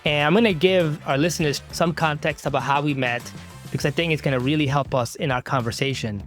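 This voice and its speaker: A man hosting a podcast, asking his guest measured, earnest questions. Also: fairly high pitch, fairly bright tone, faint music behind. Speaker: podcast host. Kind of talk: conversational interview.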